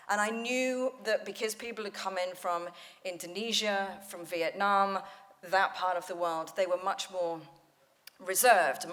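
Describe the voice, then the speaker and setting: A woman speaking coach leading a conference panel, energetic and storytelling, laughing often. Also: mid-range voice. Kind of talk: lively panel talk. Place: conference hall stage.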